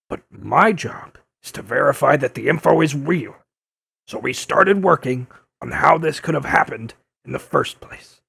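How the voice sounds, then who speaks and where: An actor playing a gruff, blustering older newspaperman in an audio drama, insistent and quick to insult. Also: a little high, fairly bright tone, no echo, clean studio sound, exaggerated voice. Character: gruff, combative older male newspaperman turned blogger. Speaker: investigative reporter interviewing a superhero. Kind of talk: audio drama dub acting.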